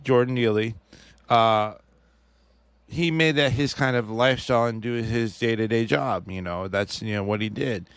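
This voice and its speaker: A man's voice: medium pitch, normal speed, no room sound. A man co-hosting a podcast in casual chat, talking hesitantly with many restarts and hedges. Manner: hesitant, restarts often, hedges his opinions. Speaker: podcast co-host. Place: podcast studio.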